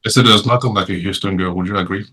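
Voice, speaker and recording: A man's voice: on the low side, steady tone, rapid-fire, bright, forceful tone, slightly rough. A man hosting a casual podcast panel, teasing his guests with playful questions. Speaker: podcast host.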